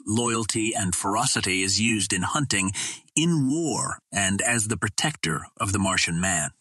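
A man reading a pulp adventure novel aloud as a narrator, in a measured, formal storytelling manner. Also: lowish voice, a bit bright, husky, no room sound. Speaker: audiobook narrator.

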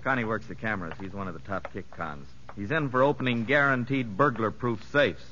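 A man's voice: on the low side, fairly quick, bright, forceful tone. A man playing a blunt, casual prison guard with offhand, slangy talk in old radio drama style. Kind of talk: 1950s American radio drama acting. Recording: muffled, reverberant, noisy old radio broadcast.

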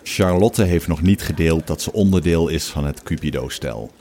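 A man's voice: a bass voice, moderate pace, mellow. A man reading scripted narration for an audio drama.

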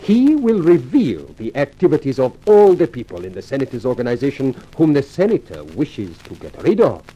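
A smooth continental racketeer from a 1950s radio mystery. His speech is formal and urbane, with swooping pitch over a soft, dusky, slightly rough tone. He stays coolly courteous while he schemes. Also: huge pitch swings, rough recording. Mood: coolly explanatory. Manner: formal, measured, urbane phrasing. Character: suave scheming racketeer, European adagio dancer, coolly courteous. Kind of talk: 1950s radio drama acting.

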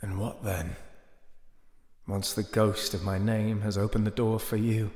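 A man's voice: fairly low pitch, level tone, measured pace, darkish tone, no room sound, studio quality. A man playing a clever, proud, bitter disgraced young lord in a fantasy audio drama, with theatrical intensity. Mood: sardonic, wounded. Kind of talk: high fantasy audio drama acting and narration.

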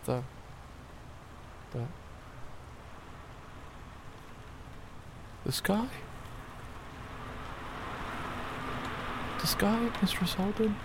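An actor playing a prim, easily flustered Puritan minister in a period audio drama, speaking formally with a stammer. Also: male voice, on the high side, animated, normal speed, noisy background. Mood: awed, panicked. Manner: stammering, formal, fussy diction.

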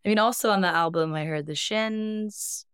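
A woman's voice: mid-range voice, moderate pace, fairly bright tone, clear, resonant tone, dry recording, clean studio sound. A young woman from Iceland, a band's singer, telling stories in a casual interview with frequent laughs. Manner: reflective, laughs often, storytelling. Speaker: band singer, guest.

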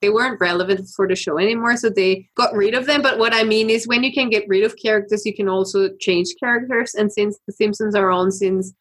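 A woman from Austria with as her chats her way through news stories as a podcast co-host. She talks loosely, thinks out loud and laughs often, at a middle pitch. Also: steady tone, fairly quick, hardly any pauses. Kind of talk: casual news chat.

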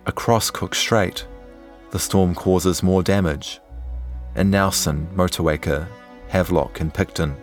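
Deep-voiced New man narrating. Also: steady tone, soft background music. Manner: measured, serious storytelling. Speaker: journalist narrator. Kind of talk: scripted documentary narration.

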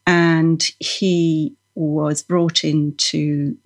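Slow, low-voiced woman from Norway. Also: flat delivery. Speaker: podcast guest, felt maker. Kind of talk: reflective interview conversation.

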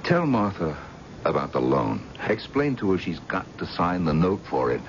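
An actor playing a selfish, pushy husband, breezy and wheedling, in a 1970s radio drama. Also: male voice, sits low, very animated, moderate pace. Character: pushy, selfish, blustering husband in money trouble. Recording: muffled, noisy old radio recording.

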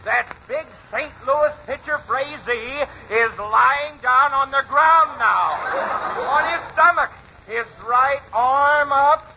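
A man playing an excited ballpark announcer in a 1940s radio drama, drawing out the suspense of each pitch. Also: exaggerated voice. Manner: suspenseful, drawn-out calls bursting into shouts. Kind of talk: 1940s radio play-by-play acting. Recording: noisy, muffled 1940s radio recording.

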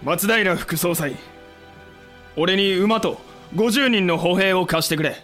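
A blunt samurai vice-commander in a historical radio play. He talks tersely and coolly in a slightly raised voice, over clearly audible music. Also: high voice, takes it slowly, a bit bright. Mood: determined. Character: Hijikata Toshizo, blunt cool-headed samurai warrior commander. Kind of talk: Japanese historical audio drama acting.

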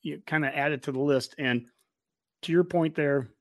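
A man's voice: fairly high pitch, normal speed, dry recording, studio quality. An American man in his forties hosting a sports fan podcast in casual chat, rambling along enthusiastically. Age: 40s.

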